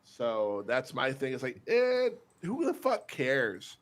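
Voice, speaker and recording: A man's voice: mid-range voice, huge pitch swings, slow pace. A man with a Texas accent, co-hosting a podcast in casual, blunt, profane chat, laughing often. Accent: Texan. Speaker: podcast co-host.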